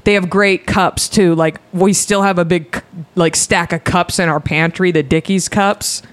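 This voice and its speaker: A woman's voice: lowish voice, steady tone, measured pace. A woman, a comedian guest, chatting playfully and telling stories on a podcast. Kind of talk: casual podcast chat.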